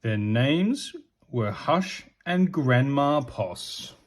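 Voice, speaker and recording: Animated man reading slowly to children. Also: medium pitch. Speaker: storybook reader. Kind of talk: expressive read-aloud narration for children.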